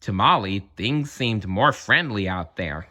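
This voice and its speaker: A man reading a children's story aloud in an expressive narrating style. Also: lowish voice, a little slow. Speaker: storybook reader.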